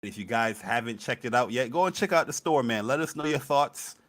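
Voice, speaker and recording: A young man co-hosting a casual gaming podcast, energetic and hyped as he talks. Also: medium pitch, a little fast. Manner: energetic, hype. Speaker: podcast co-host. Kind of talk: casual chat.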